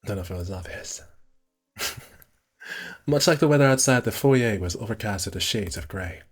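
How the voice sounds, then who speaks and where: A man's voice: lowish voice, lively intonation, normal speed, husky, faint music behind. A man casually streaming a visual novel, reading the narration aloud and correcting himself with muttered asides.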